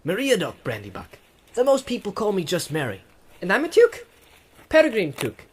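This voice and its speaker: A man's voice: sits high, wildly swooping pitch, moderate pace, a little hiss or hum. A man voicing a small, young male halfling in an audiobook, inquisitive, friendly and chatty. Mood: open, cheerful. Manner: bright-eyed, inquisitive, open and chatty. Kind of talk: audiobook character acting.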